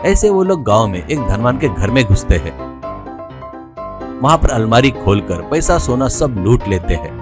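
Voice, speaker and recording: Man, story narrator, scripted delivery, lively intonation, clear background music. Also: medium pitch, normal speed.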